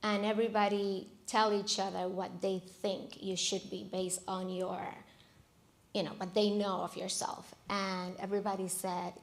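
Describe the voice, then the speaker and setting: Female panelist, native Spanish speaker with Dominican accent, informal storyteller, fry-heavy voice. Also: mid-range voice. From Dominican Republic. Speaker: panelist, interior designer. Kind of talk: conversational career story at a panel talk.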